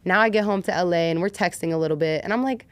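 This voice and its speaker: A woman with a fairly low voice and a close, dry sound tells personal stories as a podcast guest. She speaks in an animated, slangy way, slipping into quoted lines as she goes. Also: rapid-fire, dry recording. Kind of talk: casual personal storytelling in conversation.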